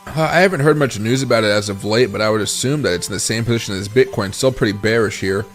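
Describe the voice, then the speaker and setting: Tired, raspy male crypto streamer. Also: on the low side, quick pace, faint music behind. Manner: rambling, thinks aloud while scrolling charts and reading chat. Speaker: crypto livestream host. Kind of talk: casual livestream chart analysis.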